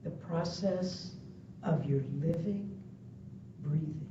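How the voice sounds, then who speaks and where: A woman meditation instructor leading a guided practice in soothing, deliberate phrases with long pauses between them. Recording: muffled, echoey, distant web-call audio, faint rumble.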